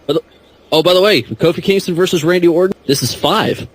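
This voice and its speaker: A man's voice: high voice, brisk, slightly rough. A man co-hosting a podcast, chatting casually and joking with loose, profane language. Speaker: podcast co-host. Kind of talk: casual wrestling chat.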